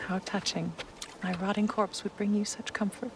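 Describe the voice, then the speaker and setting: A woman playing a calm, wise, loving wife in a game cutscene, gentle and measured with a light tease. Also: medium pitch, vocal fry, background noise, close to an everyday voice. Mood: tender, teasing. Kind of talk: intimate video game cutscene acting.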